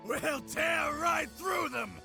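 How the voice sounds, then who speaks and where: Tiny crazed game monster, super high screechy voice, dragged out slow, piercing. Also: male voice, raised voice, loud music behind the voice, heavily acted. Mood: savage glee. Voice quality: shrieking, screechy rasp. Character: small, manic, bloodthirsty monster henchman. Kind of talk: video game character voice lines.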